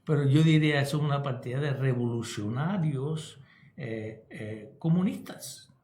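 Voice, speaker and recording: A man's voice: medium pitch, measured pace, darkish tone, roomy sound. Older Cuban man, emphatic radio talk. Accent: Cuban Spanish. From Cuba. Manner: emphatic, checks in with "¿ok?". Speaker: radio co-host, pastor and psychologist.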